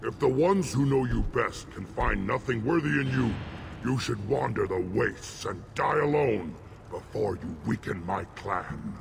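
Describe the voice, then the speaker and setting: A man playing an ancient, imperious alien shaman in a video game, solemn and commanding, with a gravelly voice. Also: mid-range voice, expressive, measured pace, background noise. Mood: stern, measured. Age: older. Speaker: clan shaman. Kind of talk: video game cutscene acting.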